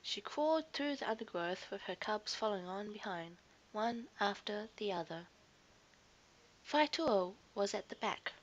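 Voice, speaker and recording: A woman's voice: mid-range voice, expressive, a little slow, highs cut off. A woman narrating a scripted children's story expressively, as a storyteller to young listeners.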